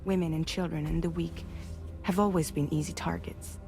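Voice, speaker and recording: A woman's voice: fairly low pitch, fairly even intonation, normal speed, darkish tone, soft background music, lightly acted. An actress playing a firm female commanding officer who takes charge and makes the call in a game cutscene. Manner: firm, decisive.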